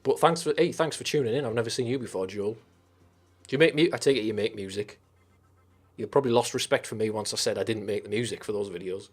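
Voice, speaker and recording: Husky Teesside man rambling on a stream. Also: lowish voice, fast talker, faint music behind. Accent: Teesside English. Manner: rambling and sweary, with frequent restarts. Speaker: live stream host. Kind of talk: casual live-stream chat, reading viewer comments.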